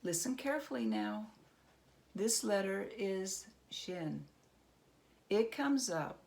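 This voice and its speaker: A woman voicing a sly, unhurried man who toys with his opponent, as a storybook character. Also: mid-range voice, expressive, gravelly, darkish tone. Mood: instructive, sly. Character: sly, unhurried man outwitting a goblin at dreidel.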